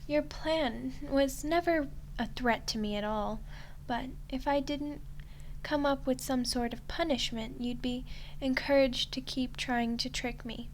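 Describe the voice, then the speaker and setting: A woman playing a smug, teasing archdemon in a roleplay ASMR style, soft and condescending, with an unhurried delivery. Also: sits high, a little hiss or hum, poor audio. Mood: quietly menacing. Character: smug, teasing female archdemon toying with a human ruler.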